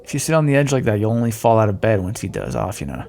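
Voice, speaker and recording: A man's voice: fairly low pitch, sing-song, rapid-fire, gravelly, darkish tone, a little hiss or hum. A man playing a roguish, sardonic but decent thief in an audio roleplay, talking directly and teasingly to the listener. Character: roguish male thief escorting a deposed queen, wry but decent.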